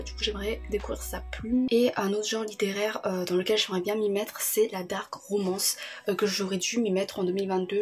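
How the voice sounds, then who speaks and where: A woman's voice: medium pitch, a little mellow. A young woman talking casually as a book vlogger, gushing and informal, with rambling asides. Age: young adult. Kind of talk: casual chatty reading-list vlog.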